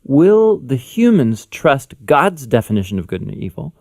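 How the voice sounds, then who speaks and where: A man's voice: medium pitch, very animated, a little slow, vocal fry, a little mellow. A man co-hosting a Bible lesson, explaining things informally in conversational explainer narration. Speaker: Bible teacher, co-host.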